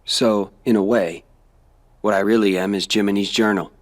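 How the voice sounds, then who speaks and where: A man playing a calm, cryptic teenage boy made of data in a video game, speaking in a measured, serious way. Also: lowish voice, dry recording, lightly acted. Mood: calm, explaining. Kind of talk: video game cutscene acting.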